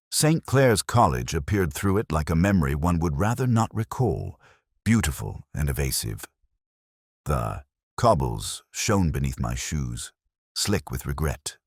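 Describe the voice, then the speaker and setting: Guy acting as a brooding police inspector telling his case, super deep voice, swoopy pitch, solemn n measured, scripted audio drama. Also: no room sound, clean studio sound, full character voice. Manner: measured, literary, solemn delivery. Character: brooding, introspective male British police inspector. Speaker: narrator. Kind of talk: scripted first-person detective story narration.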